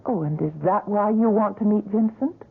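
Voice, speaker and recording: A woman's voice: medium pitch, normal speed, breathy edge, soft background music. An actress playing a kind, concerned young woman who runs an art gallery, in a 1970s radio drama. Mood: polite. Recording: tinny lo-fi old radio broadcast.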